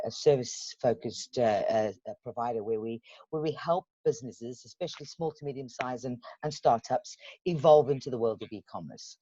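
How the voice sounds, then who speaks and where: A woman's voice: rumbling low, a little mellow, slightly rough, dry recording, highs cut off. A woman from Zimbabwe with a Zimbabwean accent, chatting as a guest in an interview and often restarting her sentences. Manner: chatty, many restarts and repeated words. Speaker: guest, business development. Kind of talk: casual interview chat.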